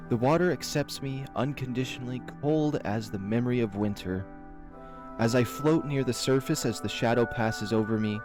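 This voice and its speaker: A man who hosts a channel, reading a visual novel aloud in a slow, measured, dreamy way. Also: medium pitch. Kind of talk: dramatic reading of game text.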